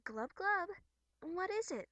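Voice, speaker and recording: A woman voicing a bubbly, earnest young troll girl from a webcomic, scolding but caring, with theatrical, expressive delivery. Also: very high-pitched, slow pace, no echo, pitched above the performer's own voice, full character voice. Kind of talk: amateur character voice acting from a webcomic.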